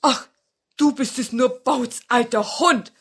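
A woman playing a loud, barking old fox. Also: slow, gravelly, slightly rough, full character voice. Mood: friendly. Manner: barking, booming.

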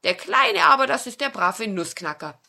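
A woman's voice: fairly high pitch, very animated, normal speed, gravelly, bright, forceful tone, voice pushed up, heavily acted. Woman doing a friendly old storybook dog. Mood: eager.

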